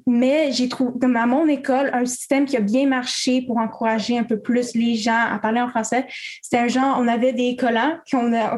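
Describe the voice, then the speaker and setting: A woman's voice: fairly high pitch, a little mellow. A young woman from Quebec, answering as a student panelist in a casual, hesitant way with many fillers.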